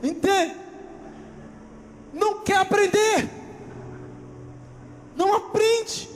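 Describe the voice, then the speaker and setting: A man from Rio de Janeiro with a Carioca accent, preaching a lively sermon to his congregation, acting out everyday scenes and asking for amens. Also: extremely high pitch, extremely slow, big-room sound, slight background noise. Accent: Carioca Brazilian Portuguese. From Rio de Janeiro, Brazil. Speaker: pastor. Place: church hall.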